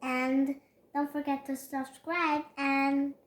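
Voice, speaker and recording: A reader going through a children's picture book aloud in a halting way, stumbling over words now and then. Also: high voice, little pitch movement. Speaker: storybook reader.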